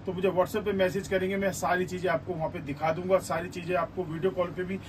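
A man with a high-pitched voice presents goods in a small shop. His sales talk is repetitive and emphatic. He is some way from the microphone, with faint noise behind him. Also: little pitch movement, fast. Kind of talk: sales vlog patter in Hindi mixed with English words. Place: small indoor clothing shop. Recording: distant mic, faint background noise.

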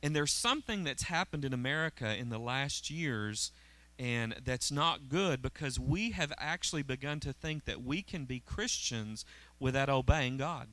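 A man's voice: medium pitch, lively intonation, normal speed, bright, forceful tone. A middle-aged man, preaching a conversational sermon on a church stage into a handheld mic and often checking in with the congregation. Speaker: pastor. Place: church auditorium stage, indoors.

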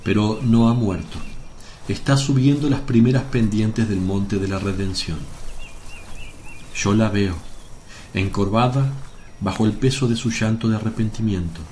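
Casting: male actor as a gentle, solemn holy teacher for devotional radio drama, low measured voice, noisy ambient track. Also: steady tone, lightly acted. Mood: serene, assured.

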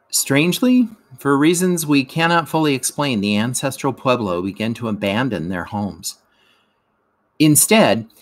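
Man reading a lesson aloud, measured. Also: medium pitch, fairly bright tone. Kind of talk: educational read-aloud narration.

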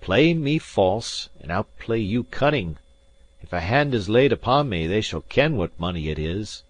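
A man's voice: lowish voice, big pitch swings, measured pace. A man voicing a defiant exile. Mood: threatening. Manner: forceful, defiant declamation. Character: proud exiled Highland gentleman, middle-aged man, bold. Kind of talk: audiobook character voice.

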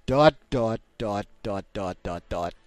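A man's voice: on the low side, expressive, takes it slowly, no echo. A man streaming a game, reading its text aloud with crude, mocking jokes, his accent a mix of British, Caribbean and American. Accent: mixed British, Caribbean and American.

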